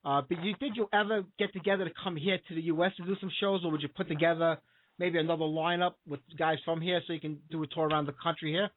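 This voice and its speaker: A man's voice: fairly high pitch, steady tone. A man hosting a radio interview, eager and chatty, stacking up fillers. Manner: eager, fast talker with many fillers. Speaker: radio show host. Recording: tinny phone line.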